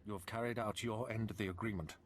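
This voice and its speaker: A man playing a grave middle-aged nobleman and devoted father in a video game, speaking in a solemn, formal, measured way. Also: fairly low pitch, fairly even intonation, a little hiss or hum, close to an everyday voice. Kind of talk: video game cutscene acting.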